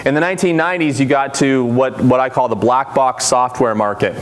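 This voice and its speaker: A man with a loud, carrying voice gives a conference presentation, quizzing the audience and explaining terms. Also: mid-range voice, brisk, a little hiss or hum. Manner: energetic, engages the audience with questions. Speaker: conference speaker. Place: conference room.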